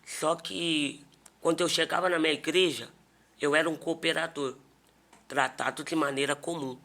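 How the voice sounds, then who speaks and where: A man's voice: on the high side, fairly even intonation, moderate pace, sharp tone. A man with a Brazilian accent telling personal stories in a casual podcast conversation as a pastor. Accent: Brazilian Portuguese.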